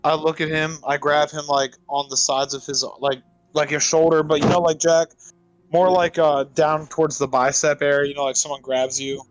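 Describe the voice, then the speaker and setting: A man's voice: fairly high pitch, flat delivery, normal speed, no echo, faint music behind, rough recording. A man playing a tabletop game, casually talking through his character's actions. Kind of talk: casual roleplay chat.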